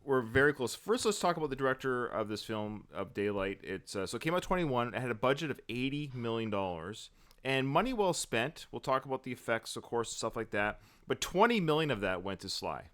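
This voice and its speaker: A man in his forties hosting a casual podcast chat and talking with animated, fan-like enthusiasm. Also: mid-range voice, brisk. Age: 40s. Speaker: podcast co-host.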